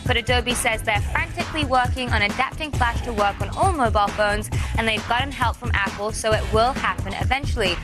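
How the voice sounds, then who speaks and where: A woman's voice: a little high, fairly quick, few pauses. An American woman presenting scripted tech and entertainment news in a breezy, polished way. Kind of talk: scripted news read for a tech and pop culture show. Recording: music bed behind the voice. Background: music.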